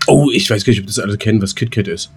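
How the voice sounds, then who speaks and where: A man's voice: fairly low pitch, rapid-fire, a little hiss or hum. A man co-hosting a podcast, chatting colloquially and telling things in a playful way. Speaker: podcast co-host. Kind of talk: casual podcast chat.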